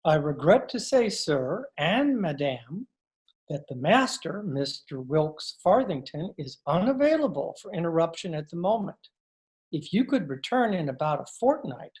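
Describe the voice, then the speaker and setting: Woman as a low-pitched, pompous butler. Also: sing-song, a little mellow. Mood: stuffy, polite. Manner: pompous, measured, formal. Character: stuffy, formal male butler of rich household. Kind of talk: old-time radio comedy acting.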